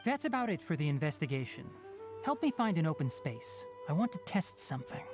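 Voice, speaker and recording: A man playing a composed, precise young forest researcher in a video game, explaining things in a crisp, matter-of-fact way. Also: on the high side, very animated, brisk, over music. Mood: focused. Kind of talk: video game character acting.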